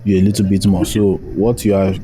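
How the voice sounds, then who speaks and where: Low, mellow Nigerian man hosting. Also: little pitch movement, brisk, rough recording. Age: young adult. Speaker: podcast host. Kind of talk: casual audio-room interview. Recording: faint noise, live online audio chat.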